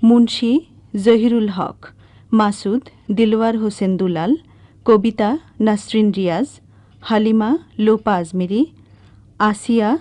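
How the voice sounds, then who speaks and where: A woman announcer reading radio drama credits in a formal, measured, list-like way. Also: mid-range voice, fairly even intonation, mellow, no room sound.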